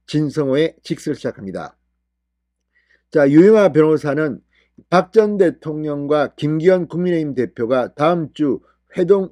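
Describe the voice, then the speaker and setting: A man's voice: fairly high pitch, warm tone, dry recording, studio quality. A man hosting a political commentary show, reading news reports with emphatic phrasing and brief asides.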